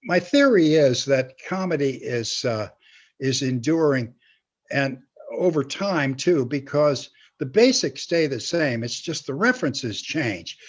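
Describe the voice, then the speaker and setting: An older man chatting casually as an interview guest, speaking haltingly with small chuckles. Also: medium pitch, big pitch swings. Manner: halting, chuckles while talking. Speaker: guest, comedy writer and teacher. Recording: slightly dull lo-fi sound.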